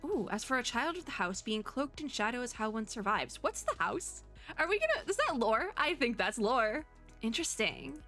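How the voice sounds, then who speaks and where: A woman with a bright voice chats casually as a game streamer. She reacts in an excitable, expressive way and rambles through her thoughts. Faint music plays behind her. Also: a little high, moderate pace. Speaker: streamer, VTuber.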